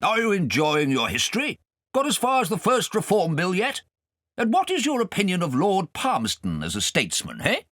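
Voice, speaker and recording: Pompous, blustering stout gentleman, pitched high. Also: wildly swooping pitch, moderate pace, dry recording, studio quality, heavily acted. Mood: pompous, quizzing. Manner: pompous, quizzing with "eh?".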